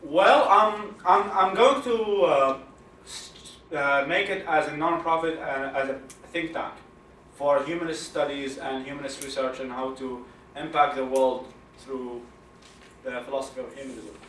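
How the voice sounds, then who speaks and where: A young man from Iraq, Arabic native, with an Iraqi Arabic accent, answering audience questions at a talk in a halting way, repeating words and laughing often. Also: medium pitch, fairly bright tone, a little hiss or hum. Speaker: guest speaker. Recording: echoey room from far off, faint ambience.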